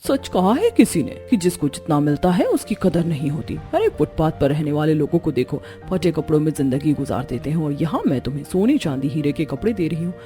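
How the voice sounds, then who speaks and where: A woman's voice: low-pitched, very animated, fairly quick, few pauses, mellow, soft background music. A woman voicing a haughty, domineering rich mother-in-law in a cartoon story, speaking in a stern, imperious way. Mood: scolding, commanding. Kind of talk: Hindi cartoon story dialogue.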